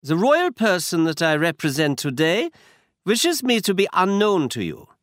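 A man's voice: sits high, huge pitch swings, normal speed, fairly bright tone, no echo, clean studio sound, pitched above the performer's own voice, heavily acted. A man reading a story aloud and voicing a large, masked, anxious foreign nobleman who speaks with a German accent in a stiff, formal manner.

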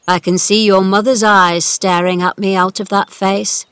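A woman putting on a gruff, emotional old gardener. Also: mid-range voice, level tone, normal speed, a bit bright, no room sound, lightly acted. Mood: stunned, shaky. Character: cross old male gardener, gruff but tender-hearted.

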